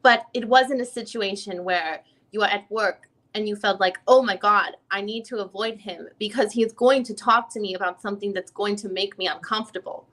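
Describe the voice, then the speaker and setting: A woman's voice: medium pitch, normal speed, few pauses, fairly bright tone, lightly acted. A woman playing an informal, probing attorney who questions a witness in a reading of a deposition, often rephrasing as she goes. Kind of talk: dramatic reading of a legal deposition.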